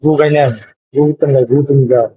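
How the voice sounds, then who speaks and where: Man, raspy voice, quick pace, casual teasing chat. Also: medium pitch, level tone. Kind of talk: casual intimate chat on a call. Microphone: phone video call. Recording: dull, lo-fi call recording.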